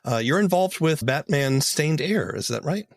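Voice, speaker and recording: A man's voice: fairly high pitch, expressive, normal speed, gravelly, no room sound. A man hosting a podcast interview, asking questions in a conversational way.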